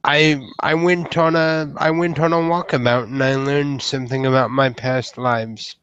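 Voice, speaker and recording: A man's voice: medium pitch, flat delivery, a bit bright, clear, resonant tone, no room sound, dull audio, natural voice. A man playing a hesitant, courteous young troll in a live roleplay, stammering and choosing formal words. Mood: earnest, unsure. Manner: halting, stammering, formal wording. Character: hesitant, courteous young newly made troll, earnest would-be knight.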